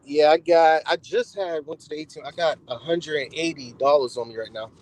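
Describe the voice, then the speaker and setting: A man's voice: fairly high pitch, fairly quick, darkish tone, no room sound, poor audio. A young man in his twenties calling in, casual and agreeable in conversation. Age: 20s. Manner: agreeable, laughs along. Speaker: caller. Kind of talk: casual chat.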